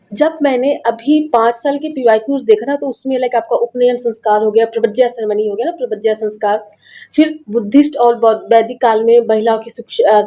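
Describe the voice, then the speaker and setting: A woman's voice: fairly high pitch, warm tone. A North Indian woman teaching an online class in a chatty, informal way, mixing in English and repeating herself, with her voice affected by a sore throat. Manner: chatty, Hindi mixed with English, repeats phrases. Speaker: teacher, online course presenter. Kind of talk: online lecture, informal explaining to students.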